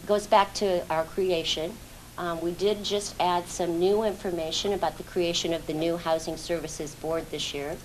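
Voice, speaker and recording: A woman's voice: low voice, a little hiss or hum, low-quality recording. A woman on a housing authority's staff presenting to a public board meeting in a plain, matter-of-fact way.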